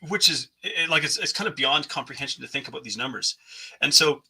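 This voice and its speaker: A man's voice: fairly high pitch, expressive, fast talker, gravelly, pushed and bright, husky, rough recording. A man hosting a podcast interview, talking in a thoughtful, searching way with frequent restarts. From North America. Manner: thoughtful, many restarts and fillers. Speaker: podcast host. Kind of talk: conversational interview.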